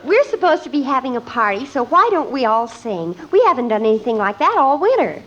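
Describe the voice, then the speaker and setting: A woman's voice: high-pitched, sing-song, a little fast, fairly bright tone, muffled recording, heavily acted. A woman acting a bubbly, scheming teenage daughter in an old-time radio family comedy, with an over-innocent, coaxing manner. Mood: coaxing, bossy. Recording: old radio broadcast, music and audience.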